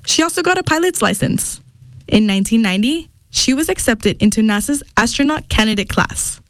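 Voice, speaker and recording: A woman narrating a scripted radio feature in a clear, measured voice. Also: a little high, animated, a bit bright, no echo, poor audio.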